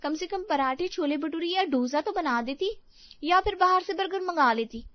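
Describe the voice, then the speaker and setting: A woman's voice: very high voice, normal speed, no room sound, highs cut off. A woman playing an entitled, demanding married daughter in a moral story, with a whiny, insistent delivery. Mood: demanding, indignant. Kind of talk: animated moral story voice acting.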